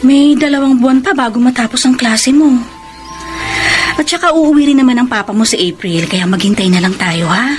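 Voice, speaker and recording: A woman playing an anxious, gentle wife and mother who misses her husband, in a Filipino radio drama. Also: high-pitched, normal speed, lightly acted. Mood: gentle, wistful. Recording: music bed behind dialogue.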